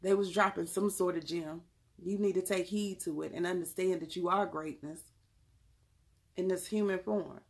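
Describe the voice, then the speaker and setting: A woman's voice: fairly low pitch, steady tone, moderate pace, darkish tone. A woman from North Carolina with a Southern accent, giving blunt, emphatic motivational talk and answering chat messages on a casual livestream. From Charlotte, North Carolina. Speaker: livestream host and tarot reader.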